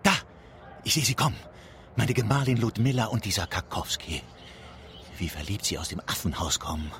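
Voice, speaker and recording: An actor playing a pompous, boastful brewery owner and vengeful betrayed husband in a theatrical radio play. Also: male voice, on the low side, animated, moderate pace. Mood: bitter, plotting. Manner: theatrical, self-important, gloating. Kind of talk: theatrical radio drama monologue.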